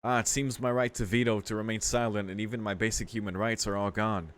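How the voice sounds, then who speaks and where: A man's voice: lowish voice, steady tone, fast, clean tone. A man hosting a let's play, reading a visual novel aloud with informal, amused commentary. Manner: amused, sweary, informal asides.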